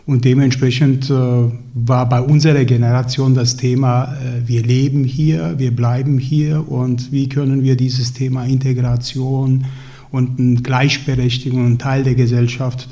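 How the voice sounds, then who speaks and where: A man in his fifties, originally from Turkey, answers a podcast host's questions. His voice is mellow and dark, and he pauses and hesitates often with fillers. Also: medium pitch, little pitch movement. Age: 50s. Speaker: guest. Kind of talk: conversational interview answers.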